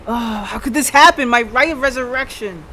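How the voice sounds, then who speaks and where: A game streamer voicing a desperate male villain, with loud, dramatic exclamations. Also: medium pitch, normal speed, a little hiss or hum, close to an everyday voice. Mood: despairing.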